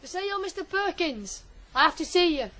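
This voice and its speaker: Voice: very high-pitched, big pitch swings, moderate pace, pushed and bright, heavily acted. A performer playing a small, eager, nervous fourteen-year-old boy, polite and hesitant in a radio drama. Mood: wary.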